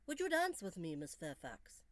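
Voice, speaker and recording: A woman voicing a charming, intense Victorian gentleman in a storybook, courtly, romantic and deliberate. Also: on the low side, wildly swooping pitch, dry recording. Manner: courtly, deliberate, sometimes hushed.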